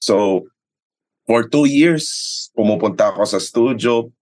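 Lower-voiced Filipino man telling stories. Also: measured pace, darkish tone. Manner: mixes English and Tagalog, repeats phrases for emphasis. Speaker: sports announcer, interview guest. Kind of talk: conversational storytelling in an interview.

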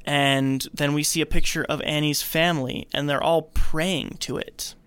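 A man hosting a podcast, casually retelling a film's story in an animated storytelling way. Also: on the high side, normal speed, clear, resonant tone, dry recording. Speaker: podcast host recapping a film. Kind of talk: casual film recap narration.

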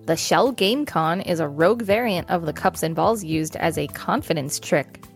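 Woman, podcast co-host, lowish pitch, casual remarks and read-aloud passages. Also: normal speed, gravelly, soft background music. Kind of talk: casual commentary and reading aloud.

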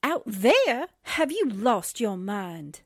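An actress playing a jittery, wisecracking newspaperwoman sidekick in a 1940s radio drama, sassy and drawn-out. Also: a little high, big pitch swings, full character voice. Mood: alarmed.

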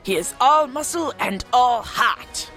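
A woman's voice: on the high side, animated, slow, bright, forceful tone, soft background music, heavily acted. A woman voicing a dutiful, earnest German military doctor with a German accent in a comedy. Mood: proud announcing. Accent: mock German. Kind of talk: comedic character acting.

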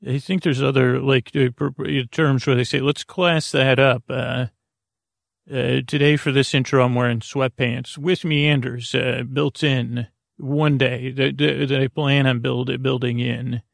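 A man hosting a bedtime podcast in a creaky, soothing voice, meandering haltingly through tangents and interrupting himself to lull listeners to sleep. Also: medium pitch, little pitch movement, no room sound, studio quality. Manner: soothing, lulling. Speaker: podcast host. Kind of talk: rambling bedtime monologue to help listeners sleep.

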